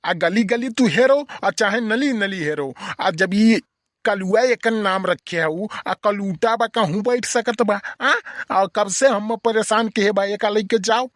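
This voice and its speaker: A man's voice: sits high, slow pace, a bit bright, no room sound, clean studio sound. A man voicing a grumpy, irritable old village uncle in an Awadhi comedy cartoon, scolding and complaining in an Awadhi accent. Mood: irritated. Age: older.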